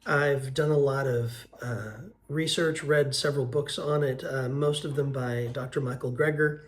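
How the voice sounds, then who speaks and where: Hoarse man in his fifties. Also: mid-range voice, steady tone. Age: 50s. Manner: earnest, unhurried, frequent uh and um. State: hoarse from a cold. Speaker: vlogger. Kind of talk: casual weight-loss update vlog.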